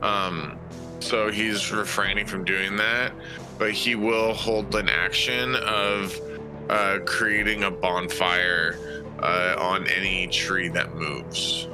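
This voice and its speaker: A man's voice: low-pitched, steady tone, slow. A man taking part in a tabletop game as a player, chatting casually and thinking aloud hesitantly. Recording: game music playing behind.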